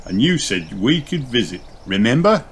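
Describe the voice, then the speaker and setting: A man voicing a friendly, easygoing grown-up kangaroo dad, slow and hearty. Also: mid-range voice, very animated, slight background noise, voice pushed up, natural voice.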